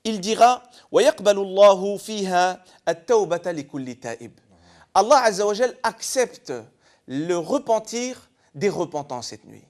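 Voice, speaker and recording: A man from eastern France speaks in a high, very expressive voice. He explains scripture like a teacher on a panel, posing questions and answering them himself. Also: racing. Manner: didactic, poses rhetorical questions. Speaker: religious scholar guest. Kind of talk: explanatory religious talk show discussion.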